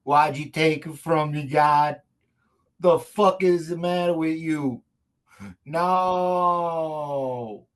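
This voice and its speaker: A man's voice: a little high, extremely slow. A man playing a brash, foul-mouthed, emotional small-time hitman in a comedic script table read. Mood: anguished.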